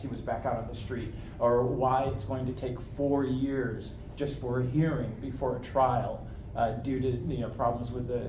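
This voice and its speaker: A man who works as a foreign correspondent, answering on a convention panel in a thoughtful, reflective way with frequent restarts. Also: mid-range voice. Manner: thoughtful, many restarts, slows down on serious points. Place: convention meeting room. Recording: echoey room with background noise.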